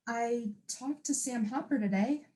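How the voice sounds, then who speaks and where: A high-pitched young wife played by a student actress in a stage drama. She is defiant and wounded, standing up for what she longs to do. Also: unhurried, heavy background noise, close to an everyday voice. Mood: earnest, tentative. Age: young adult. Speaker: student actor. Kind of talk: stage play dialogue.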